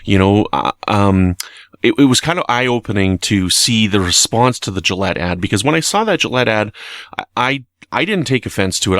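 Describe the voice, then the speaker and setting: A man giving opinionated, conversational podcast commentary in an earnest, emphatic way, sometimes halting as he reasons aloud. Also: fairly low pitch, a bit bright, dry recording, studio quality. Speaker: podcast commentator reviewing a TV episode.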